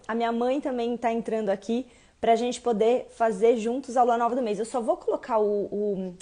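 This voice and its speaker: A woman with a slightly high voice, hoarse from losing it. She hosts a casual livestream and talks her viewers through each point in a patient teaching manner. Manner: warm teaching, explains step by step. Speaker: host of a kabbalah livestream.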